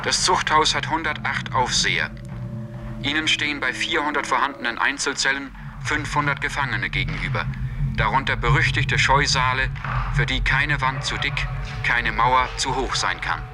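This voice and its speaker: A man with a mid-range voice reads a formal, dramatically phrased report. Clear noise and outdoor ambience sit behind him. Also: medium pitch, normal speed. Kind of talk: scripted documentary report.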